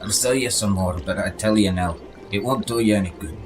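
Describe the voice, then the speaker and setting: A man's voice: on the low side, brisk, background noise, natural voice. A man voicing a small, blunt, matey creature who keeps a potion shop in a fantasy audio drama. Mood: grave, blunt. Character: small genderless teal creature, gruff frank potion shopkeeper. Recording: music behind the voice.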